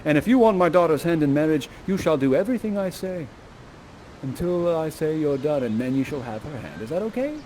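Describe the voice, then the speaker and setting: A man's voice: on the high side, expressive, a little fast, darkish tone, slight background noise, close to an everyday voice, poor audio. A man playing a bossy, exasperated old sorcerer father with blustering, commanding delivery in comic Shakespeare. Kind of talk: comic Shakespeare storytelling with modern slang.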